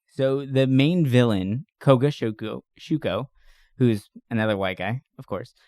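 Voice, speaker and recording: A man with a medium-pitched voice talks as a podcast co-host in a dry recording. He is enthusiastic and informal, chatting casually and swearing now and then. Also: no echo. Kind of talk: casual chat about movies.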